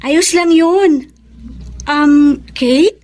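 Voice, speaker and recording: A woman's voice: high-pitched, extremely slow, a little mellow, a little hiss or hum. A woman acting a scheming young student in a Filipino drama, breezy and coaxing, mixing in English words. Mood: sweet, coaxing. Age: young adult. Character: scheming, chummy female student buttering up classmate.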